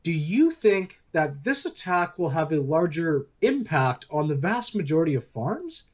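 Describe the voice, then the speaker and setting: A middle-pitched voice with widely varying intonation. An actor plays a chatty, opinionated political interviewer in a radio play, steering the talk with leading, insinuating questions. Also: big pitch swings, a little slow. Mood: pointed, lively. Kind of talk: conversational radio play acting.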